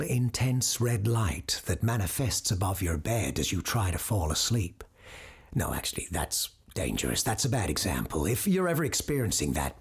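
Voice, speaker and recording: A woman playing a grave, formal male parapsychologist who hosts a supernatural call-in show in a scripted audio drama, slightly defensive and measured, with a husky, breathy voice. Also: very deep voice, exaggerated voice. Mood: formal, helpful. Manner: formal, measured, earnest with dry hesitations.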